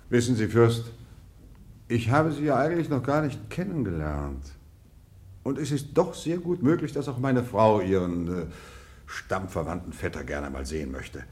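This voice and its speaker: An actor playing a self-important, excitable middle-aged Russian general in a 1950s radio drama, formal and abrupt in his speech. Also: medium pitch, unhurried, pauses often, natural voice. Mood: probing, condescending.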